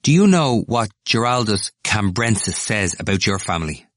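Man putting on an excitable, high-pitched young student. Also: normal speed, no echo, clean studio sound. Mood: boastful. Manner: eager, insistent, declamatory. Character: excitable, boastful young male student, eager and wounded. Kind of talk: audiobook character voice.

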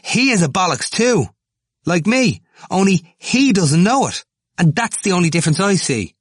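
A man voicing an excitable, boastful young male student in an audiobook, eager and insistent, easily wounded. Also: high-pitched, moderate pace, slightly rough, dry recording, clean studio sound, pitched above the performer's own voice, exaggerated voice. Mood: despairing, insistent. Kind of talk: audiobook character voice.

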